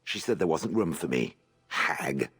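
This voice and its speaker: An ancient stone golem from a video game, with a low, raspy voice that rises and falls expressively. It sounds grumpy and haughty, full of dry scorn for soft humans. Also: male voice, expressive, unhurried, faint music behind, full character voice. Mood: bitter, indignant. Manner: sardonic, haughty, blunt, dry. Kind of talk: video game dialogue acting.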